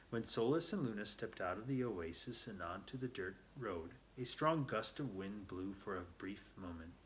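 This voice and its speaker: Guy w a lowish voice reading a kids book out loud, earnest n unpolished, bit of noise behind. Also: rough recording. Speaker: storyteller reading a children's book aloud. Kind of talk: read-aloud narration.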